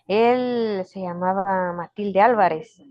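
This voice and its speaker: An older woman, unhurried and full of restarts, telling family stories as a guest on a video call. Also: mid-range voice, no echo. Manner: warm, unhurried storytelling with frequent restarts. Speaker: guest speaker, forest guardian. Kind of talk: casual storytelling interview. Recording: dull lo-fi video call audio.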